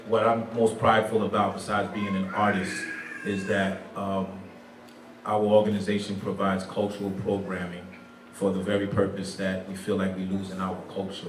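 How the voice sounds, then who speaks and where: A man, a teacher and nonprofit program director, answering panel questions in a thoughtful way and slipping in Creole and French phrases. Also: sits low. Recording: echoey room from far off, faint background noise.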